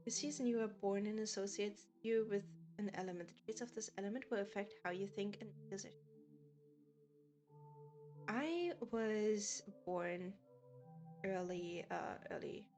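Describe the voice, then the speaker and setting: A woman's voice: mid-range voice, normal speed, a little mellow, soft background music. A woman from Germany with a German accent, chatting casually with viewers as a game streamer and laughing often. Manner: giggly, chatty, often laughs between sentences.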